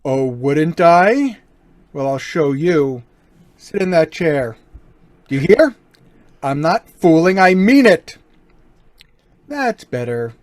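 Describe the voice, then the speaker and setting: A suave gentleman burglar, acted by a man in an old-time radio crime drama. He taunts with unhurried, mock-courteous politeness and quiet menace. His pitch swings widely, recorded dry. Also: big pitch swings, no echo. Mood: threatening.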